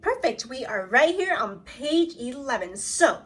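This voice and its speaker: A woman with a fairly high voice speaks very slowly, as an elementary teacher does in a read-aloud lesson. She addresses young pupils directly in an encouraging, instructive way, moving between reading and questions. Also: big pitch swings, fairly bright tone.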